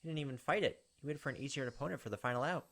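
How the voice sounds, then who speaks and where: A man's voice: medium pitch, racing, fairly bright tone. A man hosting a gameplay video, reading a visual novel aloud as dramatized, playful narration.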